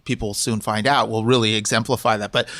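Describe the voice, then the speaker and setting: A man's voice: on the low side, fairly even intonation, a bit bright, no echo. A man hosting an interview, friendly and encouraging, prompting his guest with questions. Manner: friendly, encouraging, quick short replies. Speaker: podcast host. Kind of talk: casual interview.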